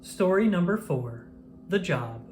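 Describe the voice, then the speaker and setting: An expressive narrator reads a children's storybook at an unhurried, measured pace. The pitch moves around in a lively way for effect. Some background noise sits behind the voice.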